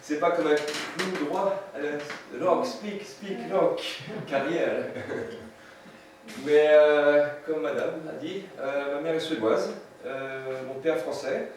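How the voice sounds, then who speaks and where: A man's voice: a little high, slow pace. Hesitant man in his fifties, echoey. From France. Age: 50s. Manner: hesitant, many fillers and restarts, light chuckles. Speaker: photographer presenting his work. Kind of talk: seminar talk with slides. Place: seminar room with audience. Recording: echoey room, distant mic, noisy.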